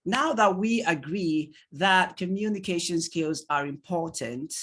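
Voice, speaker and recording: Lively Nigerian-accented male tutor. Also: sits high, a little slow. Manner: animated, rhetorical questions, laughs often, answers chat. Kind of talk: online training lecture to parents.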